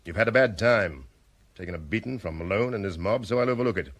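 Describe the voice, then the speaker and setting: A man's voice: on the low side, expressive, fast talker. A man playing a stiff, sarcastic police superintendent in a vintage radio drama, curt and reproving.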